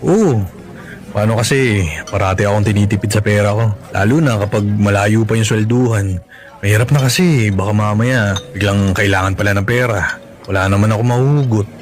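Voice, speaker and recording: A man's voice: fairly low pitch, moderate pace, faint music behind, natural voice. An actor with a Filipino accent playing a cash-strapped, apologetic and devoted young father in a radio drama, with a self-effacing manner. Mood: sheepish.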